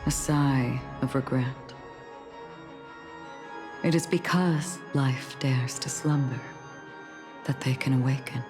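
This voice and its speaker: A low, ceremonial guide. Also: female voice, fairly even intonation. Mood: solemn. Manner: measured, ceremonial, poetic. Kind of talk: video game dub. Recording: game cutscene with music underneath.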